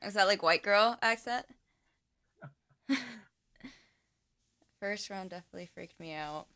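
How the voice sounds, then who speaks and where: A woman's voice: mid-range voice, unhurried, bright, forceful tone. A woman co-hosting a music review stream, playful and giggly in casual chat.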